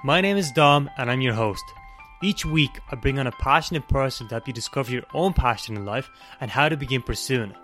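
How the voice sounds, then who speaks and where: A man hosting a podcast, reading a steady upbeat introduction and then chatting with his guest. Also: medium pitch, brisk, soft background music. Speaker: podcast host. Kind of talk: scripted intro, then casual interview.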